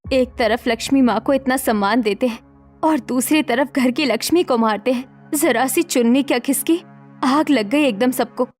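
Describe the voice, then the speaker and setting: A woman's voice: sits high, moderate pace, soft background music. A woman voicing an aggrieved young adult daughter in a cartoon story, pleading and indignant.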